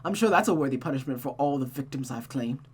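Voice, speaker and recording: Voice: medium pitch, expressive, quick pace, husky, faint music behind. A performer voicing a sadistic, deranged man, cynical and mocking, with taunting, theatrical delivery in a visual novel. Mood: mocking, self-abasing.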